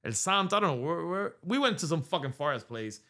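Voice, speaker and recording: Animated middle-aged man telling stories. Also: a little high, fast. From United States. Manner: animated storytelling, swears freely, drops Spanish words. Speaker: podcast host. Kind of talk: casual chat. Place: podcast studio. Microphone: studio mic on boom arm.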